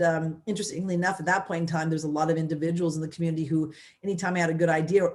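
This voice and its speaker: A woman in her fifties from the United States, a former mayor, telling her story in a loud, energetic way with many restarts. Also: sits low. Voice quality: loud voice, big personality. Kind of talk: casual interview storytelling.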